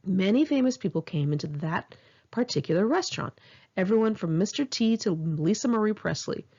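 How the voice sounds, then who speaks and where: A woman's voice: mid-range voice, lively intonation, brisk, mellow, breathy edge, muffled recording. An American woman reads her own memoir aloud in an informal audiobook style, with a candid, wry, gossipy way of telling stories. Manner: candid, wry, gossipy storytelling with blunt swearing. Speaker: author reading own memoir. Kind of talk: scripted narration, informal audiobook reading.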